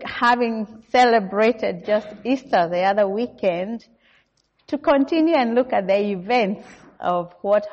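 A middle-aged Kenyan woman delivers a sermon in an unhurried, measured voice with lots of small fillers. The recording is dull and lo-fi, and her voice rings out with a long church-hall reverb. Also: a little high. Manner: measured, warm church address with frequent "uh" fillers. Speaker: guest preacher.

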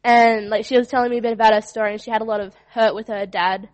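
A young woman from Western Australia gives an earnest testimony in a slightly high, flat voice. She talks in loose runs, leaning on "like" between thoughts. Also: quick pace. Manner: earnest, fills with "like". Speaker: student sharing a testimony. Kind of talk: personal testimony.

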